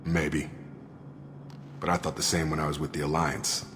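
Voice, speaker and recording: A man voicing an earnest, disillusioned ex-soldier in a video game, speaking in a measured, plain way. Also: rumbling low, little pitch movement, in a large hall, background noise. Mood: steady, candid.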